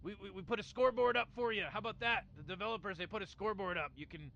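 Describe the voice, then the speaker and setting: A man's voice: high-pitched, very fast, vocal fry, a bit bright, lo-fi sound, a little hiss or hum. An actor voicing a cocky, rambling genius grandfather scientist in a video game, stammering and dismissive. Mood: smug. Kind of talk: game dialogue.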